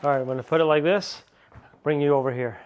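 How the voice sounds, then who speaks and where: A man chatting through a casual car-parts unboxing, excitable and rambling, still a little sniffly from a cold. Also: fairly high pitch, fast talker, lo-fi sound. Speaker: car vlogger.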